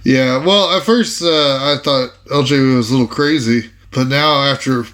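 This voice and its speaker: A man's voice: mid-range voice, moderate pace, fairly bright tone. A man playing a tabletop game, chatting casually as he decides what his character does.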